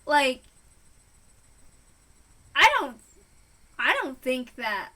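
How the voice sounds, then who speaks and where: A woman giving casual, impassioned fan commentary in an exasperated, emphatic and halting way. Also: high voice, expressive, pushed and bright. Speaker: reader and commentator.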